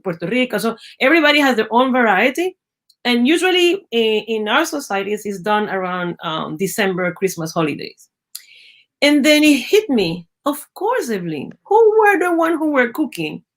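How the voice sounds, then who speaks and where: A middle-aged woman from Venezuela with a Venezuelan Spanish accent, a native Spanish speaker and biologist, telling stories in a lively interview, acting out quoted voices and often restarting her phrases. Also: fairly high pitch, normal speed. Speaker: guest, biologist. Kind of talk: conversational storytelling interview.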